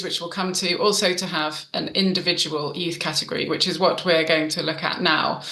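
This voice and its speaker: Bright-voiced woman hosting with roomy sound. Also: fairly low pitch, creaky. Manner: warm, with frequent ums and restarts. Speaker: event host. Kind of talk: hosting a literary awards event.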